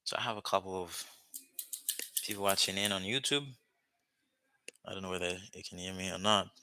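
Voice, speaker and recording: A man's voice: deep voice, steady tone, brisk, pushed and bright, clean tone, no room sound. A man whose first language is Haitian Creole, from Haiti, chatting casually and rambling to viewers on a live stream about learning languages, with many fillers and restarts. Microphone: webcam or phone mic, speaking to camera.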